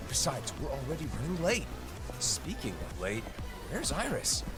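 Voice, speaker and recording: A man's voice: mid-range voice, huge pitch swings, normal speed, over music. A man playing a serious, exasperated young musician in an anime-style audio drama.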